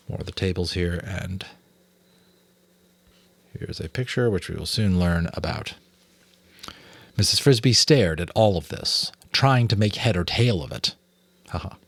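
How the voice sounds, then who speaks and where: American man narrating, animated and low-pitched. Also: husky, no room sound, studio quality. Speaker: amateur audiobook narrator. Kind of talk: dramatic storybook narration.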